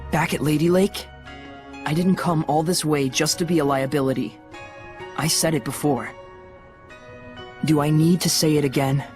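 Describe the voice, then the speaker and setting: A man acts an earnest, resolute young game hero. His voice sits high for a man and is full of impassioned conviction. A loud musical score plays behind him. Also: lightly acted. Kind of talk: dramatic anime game voice acting.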